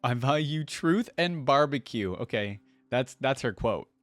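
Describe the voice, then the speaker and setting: A man's voice: medium pitch, lively intonation, a little slow, soft background music. A man hosting a reaction video, casually musing and repeating lines as he comments on them. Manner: musing, echoes lines he hears.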